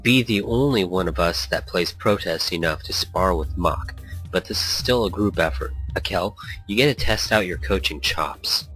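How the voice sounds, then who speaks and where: A man's voice: deep voice, lively intonation, normal speed, fairly bright tone, faint music behind. A man hosting a gaming let's play, reading story text aloud with playful, rambling asides and small stumbles. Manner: playful, rambling, stumbles over read lines.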